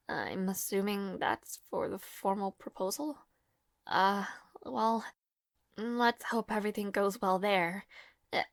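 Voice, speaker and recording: A woman's voice: mid-range voice, no room sound, lightly acted. A woman acting in an audio roleplay as a devoted young maid to a noble lord, nervous and caring, with a hesitant, formal and apologetic manner. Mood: polite, composed. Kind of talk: scripted audio roleplay, intimate fantasy drama.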